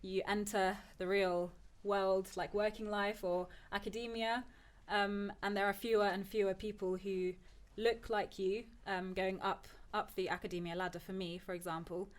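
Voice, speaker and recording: A woman's voice: mid-range voice, little pitch movement, moderate pace. Reflective young woman from Turkey. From Turkey, moved to England at ten. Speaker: podcast guest, PhD student. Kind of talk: conversational personal discussion.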